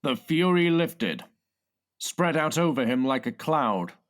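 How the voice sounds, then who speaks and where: A man narrating audiobooks in a measured, deliberate, scripted style. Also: mid-range voice. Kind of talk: scripted dramatic and factual narration.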